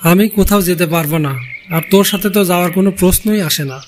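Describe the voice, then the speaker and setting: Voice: mid-range voice, fast, a little hiss or hum, natural voice. A performer voicing a callous, contemptuous husband, curt and dismissive, in a cartoon fairy tale. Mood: cold anger.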